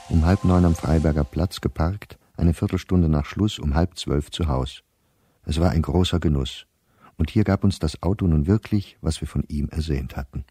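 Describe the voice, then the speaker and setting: A man's voice: deep voice, mellow, breathy edge, no room sound, studio quality. An actor playing a weary, bitter middle-aged professor who reads his diary in precise, clipped phrases for a radio play. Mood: resigned, gloomy.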